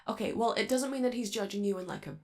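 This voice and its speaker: A woman's voice: fairly high pitch, quick pace, natural voice. A woman playing a friendly, nervous robot alien crewmate in a comforting ASMR roleplay, rambling and hesitant as they reassure the listener. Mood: shy, rambling.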